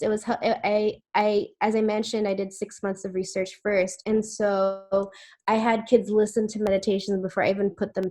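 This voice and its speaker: A woman's voice: mid-range voice, darkish tone. A woman answering questions in a webinar over a video call, chatty, with many fillers and restarts. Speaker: webinar speaker.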